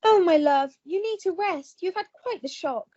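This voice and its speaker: A woman's voice: very high voice, lively intonation, normal speed, warm tone, dull audio. A young woman playing a plucky, gushing, posh heroine with old-fashioned jolly phrasing in a melodramatic radio play. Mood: soothing.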